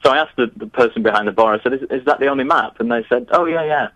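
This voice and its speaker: A man's voice: medium pitch, rapid-fire, fairly bright tone, no echo, low-quality recording. A man, answering interview questions in a chatty, hesitant way with many restarts. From northern England. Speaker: guest, geography teacher. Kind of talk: remote interview.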